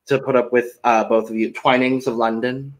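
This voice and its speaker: A man's voice: mid-range voice, little pitch movement, moderate pace, low-quality recording. A man co-hosting a casual podcast, talking in a rambling, hesitant way with dry humor. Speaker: podcast co-host.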